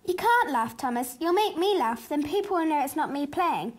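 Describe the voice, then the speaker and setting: Woman playing a high-voiced bossy schoolgirl. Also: expressive, moderate pace. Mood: excited, scheming. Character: Katie, eager bossy schoolgirl leader. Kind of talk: radio play acting.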